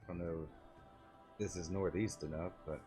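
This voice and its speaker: A man's voice: sits low, steady tone, normal speed, music in the background. A man giving casual gameplay commentary as a game streamer.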